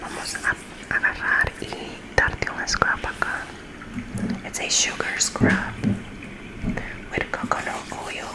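A woman speaks in a hushed, breathy whisper throughout. She talks slowly and gently, guiding the listener through a grooming roleplay as if leaning close. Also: poor audio. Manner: soft, slow, gentle instructions to the listener. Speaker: ASMR barber roleplayer.

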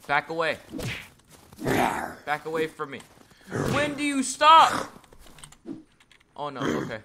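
A man giving live commentary over his own gameplay, talking to himself and shouting at enemies as things happen. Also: a little high, takes it slowly, gravelly, slight background noise. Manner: excitable, talks to himself and at enemies. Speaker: gaming YouTuber.

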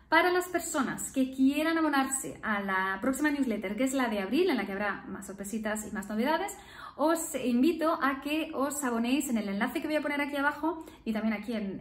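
A woman's voice: on the high side, roomy sound. A woman in her thirties, a speech therapist, talking casually to camera with many fillers and tag questions. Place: room with painted geometric wall, indoors. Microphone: camera in front, upper body framing.

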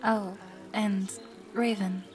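A woman playing a kind, slightly awkward co-parent in an audio drama, gentle and hesitant. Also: medium pitch, flat delivery, very slow, soft background music. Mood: gentle, forgiving.

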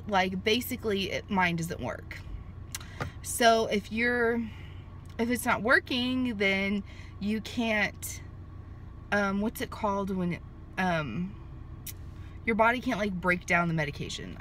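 A woman's voice: mid-range voice, fairly bright tone, a little hiss or hum. A woman in her thirties chatting to a phone camera from a parked car, rambling with lots of fillers and restarts. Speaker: vlogger. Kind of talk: casual chat to camera. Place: parked car in a sunny lot. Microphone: handheld phone at arm's length.

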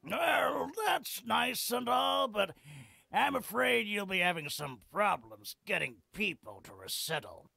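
An actor playing a cheeky, scruffy old veteran freeloader in a video game, with a raspy, drawling, theatrical delivery. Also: male voice, high-pitched, animated, slow pace, sharp tone, no room sound, full character voice. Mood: amused. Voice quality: gravelly, raspy. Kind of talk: video game character acting.

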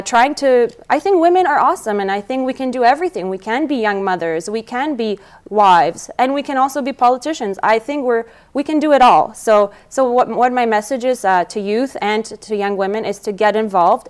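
Young woman, twenties, non-native English, earnest political guest, smooth clear tone, lapel mic in studio. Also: medium pitch. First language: not English. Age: 20s. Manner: earnest, eager, fluent with fillers. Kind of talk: studio interview answers.

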